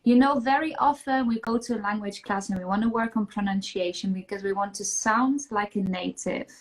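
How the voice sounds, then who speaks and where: A woman's voice: medium pitch, fairly even intonation, a little fast, rough recording. A woman in her thirties hosting an interview over a video call, affirming and encouraging her guest. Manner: affirming, encouraging, nods along. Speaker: podcast host, yoga and language coach. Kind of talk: casual interview chat. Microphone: webcam on video call.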